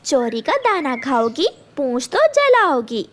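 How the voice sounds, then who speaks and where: A woman voicing a clever, determined mother bird in a children's cartoon, speaking in a measured, resolute way. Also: high voice, very animated, exaggerated voice. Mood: scolding. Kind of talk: animated children's story dialogue.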